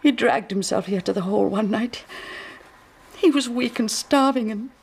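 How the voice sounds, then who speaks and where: An actress playing a distressed, loyal servant woman who speaks in an earnest, halting, deferential and breathy way in a radio drama. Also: fairly high pitch, a little mellow, heavily acted. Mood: tearful pleading. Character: distressed, loyal servant wife, devout and pleading.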